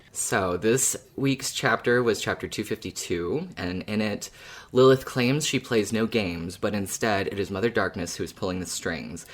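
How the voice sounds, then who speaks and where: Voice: deep voice, measured pace. A podcast host who chats casually about a story in a fan discussion and laughs often.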